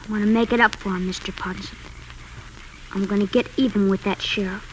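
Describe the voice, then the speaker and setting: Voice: mid-range voice, steady tone, fairly quick, close to an everyday voice. An actor playing an angry, grieving young frontier boy in a 1950s radio Western, with an American accent and stubborn, rustic speech. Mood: bitter defiance. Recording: noisy 1950s radio recording.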